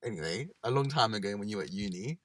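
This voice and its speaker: Animated man chatting, dry close sound. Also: fairly low pitch, dry recording. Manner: animated, quick storyteller who imitates others. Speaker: podcast co-host. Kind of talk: casual chat. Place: parked car.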